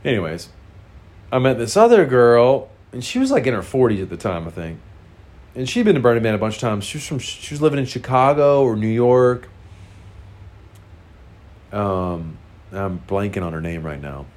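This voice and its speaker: A man's voice: mid-range voice, very animated, normal speed, long pauses, a little mellow. A man with a congested, nasal voice, casually telling a frank, rambling personal story full of fillers.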